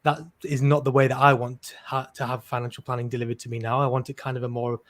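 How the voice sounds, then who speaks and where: A young man in his twenties speaks on a panel in a relaxed, upbeat way. He talks in a conversational tone and shapes his thoughts as he speaks. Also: medium pitch, fast. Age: 20s. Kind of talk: panel discussion.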